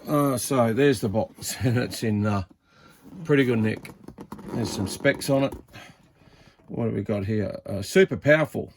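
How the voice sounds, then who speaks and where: A man's voice: medium pitch, a little slow, pauses often. A man giving a casual, informal unboxing commentary, muttering to himself as he handles things. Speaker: hobbyist reviewer of vintage CB radios. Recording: clear background noise, handling clicks.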